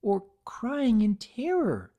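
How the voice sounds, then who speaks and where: A woman as a gentle but firm high-voiced pegasus. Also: huge pitch swings, takes it slowly, highs cut off, exaggerated voice. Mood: plaintive, firm. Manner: earnest, soft but firm. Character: gentle female cartoon pegasus, protective and assertive.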